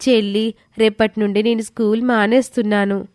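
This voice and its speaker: A woman voicing a gentle, responsible schoolgirl, an elder sister in an animated moral story. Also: a little high, normal speed, no room sound, clean studio sound, close to an everyday voice. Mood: earnest, resigned.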